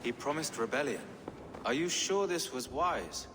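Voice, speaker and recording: A man's voice: mid-range voice, expressive, a little slow, very noisy, close to an everyday voice. Man as an earnest peacemaker, echoing hall. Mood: concerned. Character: pious, anxious, diplomatic peacemaker, male. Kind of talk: video game cutscene acting. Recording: reverberant hall under prominent music.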